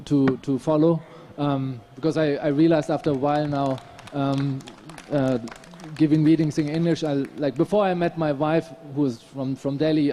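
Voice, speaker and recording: A man with a German accent reads his prose aloud at a literary festival, his voice dark and mellow and pitched a little higher than most men's. Between passages he talks in a halting, self-deprecating way and restarts his sentences often. A faint hum sits beneath him. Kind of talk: reading from his novel, then casual stage talk. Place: festival stage, audience present.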